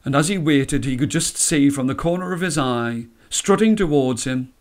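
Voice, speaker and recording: A man reading a story aloud as a storyteller, with measured, dramatic phrasing. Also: fairly high pitch. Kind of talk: expressive scripted storytelling.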